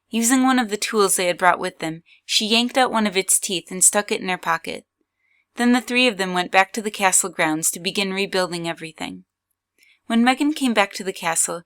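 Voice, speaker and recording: A woman's voice: medium pitch, fairly bright tone. A woman reading scripted third-person story narration as the narrator of an audio drama.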